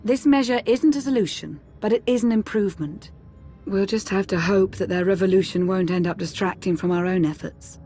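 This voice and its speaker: A woman playing a composed, shrewd strategic advisor in a video game, measured and dry in delivery. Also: mid-range voice, dark tone, soft background music, close to an everyday voice.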